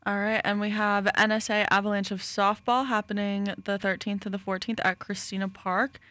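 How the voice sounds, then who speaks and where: A woman's voice: medium pitch, flat delivery, normal speed, fairly bright tone, no room sound. A woman co-hosting a radio sports show, reading event listings, giggling often and joking about herself. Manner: giggly, self-deprecating. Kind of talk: casual radio chat.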